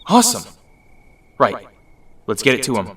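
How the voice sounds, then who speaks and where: A man's voice: mid-range voice, wildly swooping pitch, moderate pace, a bit bright, slight background noise. A man playing a cocky, eager teenage boy hero in an audio drama. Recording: distant mic, faint music.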